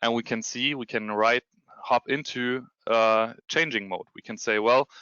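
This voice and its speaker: German-accented male presenter on a video call, halting and full of fillers, bright crisp tone. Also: medium pitch, steady tone, no room sound, highs cut off. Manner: hesitant, many ums and restarts. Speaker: security consultant presenting a demo. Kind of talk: webinar presentation with screen share.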